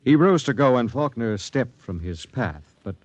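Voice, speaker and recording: An actor playing a stern, authoritative space captain who speaks with measured dignity in a 1950s radio drama. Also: male voice, medium pitch, expressive, darkish tone, no room sound, natural voice. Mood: grave narration. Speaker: captain and narrator. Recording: dry, band-limited 1950s radio recording.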